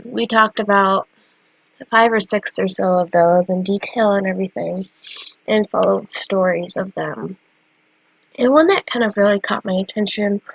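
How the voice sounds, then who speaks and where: A woman's voice: medium pitch, vocal fry, dry recording, muffled recording. A young woman with a stuffy, cold-congested voice, chatting casually about her drawings with many fillers. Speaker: artist vlogger. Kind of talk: casual chat.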